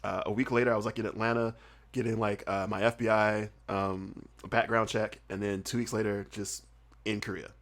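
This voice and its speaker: A young man with a slightly low voice and a faint, mostly hidden Southern US accent tells stories in a relaxed podcast chat. He talks loosely, peppering his sentences with fillers, and laughs easily as he goes. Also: brisk. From southern United States. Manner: animated, laughs often, filler-heavy with "like" and "uh". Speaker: podcast guest. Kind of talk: casual storytelling chat.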